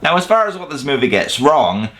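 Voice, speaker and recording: A man's voice: on the high side, sing-song, brisk, fairly bright tone. A man delivering scripted comedic film commentary as a countdown host, with a sarcastic, casually sweary manner.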